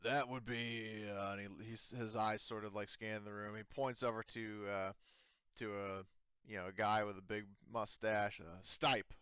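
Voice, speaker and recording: Low, clean-voiced man improvising game narration. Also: flat delivery, fairly bright tone, clean tone, dry recording, studio quality. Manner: halting, meandering, casual. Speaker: game master.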